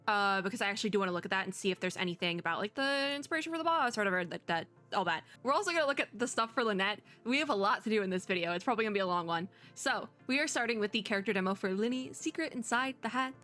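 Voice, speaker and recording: A woman giving casual, excited reaction commentary as a streamer, rambling, gushing and often cutting herself off. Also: mid-range voice. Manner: rambling, gushing, self-interrupting. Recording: music playing behind the voice. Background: game trailer music.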